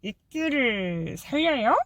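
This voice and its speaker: A woman's voice: on the high side, sing-song, takes it slowly, fairly bright tone, no echo, studio quality, full character voice. A woman voicing a polite, wary little termite who speaks bluntly but kindly in a storybook audiobook. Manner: polite, hesitant, bluntly practical. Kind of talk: audiobook character voice.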